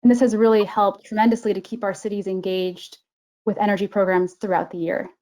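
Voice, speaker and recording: Young female analyst, mid-pitched, orderly and clear. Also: level tone, brisk, mellow. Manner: clear and organized, explaining step by step. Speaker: management analyst at a regional government council. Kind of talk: conversational interview answers.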